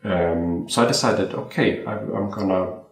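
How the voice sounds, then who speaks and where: A young man from Sweden talks about his watchmaking career in an easy, halting way, restarting and repeating words as he thinks. His voice sits very low. The sound bounces around a home room. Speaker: watchmaker guest. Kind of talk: casual interview chat. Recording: roomy home interview.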